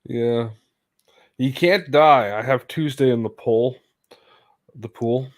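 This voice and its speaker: A man chatting casually, halting and stumbling over words, often laughing. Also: mid-range voice. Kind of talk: casual chat.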